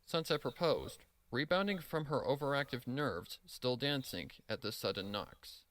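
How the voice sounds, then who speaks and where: A man's voice: mid-range voice, lively intonation, moderate pace, fairly bright tone, dry recording. A man narrating a scripted story, reading expressively and dramatically.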